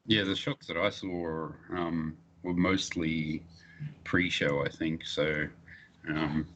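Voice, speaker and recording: A man's voice: extremely low pitch, steady tone, takes it slowly, fairly bright tone. A man in his forties chatting casually with friends. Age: 40s. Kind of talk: casual chat. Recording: muffled lo-fi mic with faint noise.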